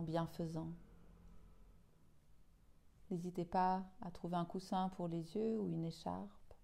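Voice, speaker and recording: Woman, yoga nidra guide, lowish pitch, gravelly creak, hushed, soothing, long pauses. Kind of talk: guided meditation.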